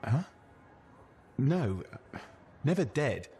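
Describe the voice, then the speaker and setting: Animated, cocky young sidekick. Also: male voice, mid-range voice, extremely slow, full character voice. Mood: candid. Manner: jokey, boastful, informal banter. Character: chatty, restless young male cipher sidekick, cocky and insecure. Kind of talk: naturalistic video game dialogue acting.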